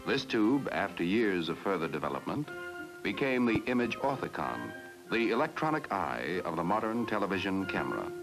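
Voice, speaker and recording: A male narrator from a 1950s educational film, with a low voice that rises and falls dramatically. He delivers every line grandly. A loud orchestral score plays under him on an old film soundtrack. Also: big pitch swings, normal speed, over loud music, low-quality recording.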